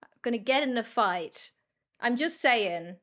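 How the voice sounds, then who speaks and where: A woman's voice: fairly high pitch, animated, a little slow, a bit bright. A woman with a Dutch accent chatting casually as she livestreams a game, with a blunt, sweary, teasing manner. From Netherlands. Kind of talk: casual chat while gaming.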